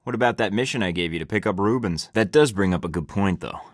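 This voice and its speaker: A man's voice: lowish voice, quick pace, dry recording, close to an everyday voice. An actor with an American accent playing a sarcastic young male spy agent in a video game, trading dry, wisecracking quips. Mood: wry.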